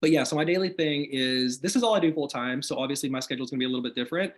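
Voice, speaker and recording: A man sharing business tips on a video call, talking in an energetic, motivational way with lots of "like" fillers, his voice slightly hoarse after being sick. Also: medium pitch, racing. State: slightly hoarse, recovering from illness. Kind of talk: casual video call chat. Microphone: video call microphone.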